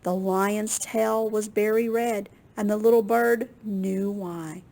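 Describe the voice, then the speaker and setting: A woman reading a picture book aloud to children in an expressive, unhurried way, with small asides to her listeners. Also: mid-range voice, creaky. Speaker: children's storytime reader at a library.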